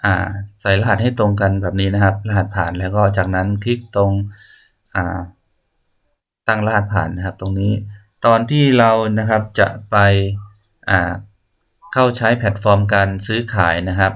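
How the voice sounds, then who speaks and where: A man presenting an unscripted software walkthrough, explaining step by step in a polite, halting way. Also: fairly low pitch, fairly even intonation. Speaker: tutorial presenter.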